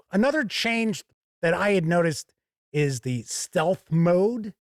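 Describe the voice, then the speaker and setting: A man giving an explanatory game review, talking through rules in short, measured, emphatic phrases. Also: on the high side, no echo, clean studio sound. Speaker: board game reviewer and host.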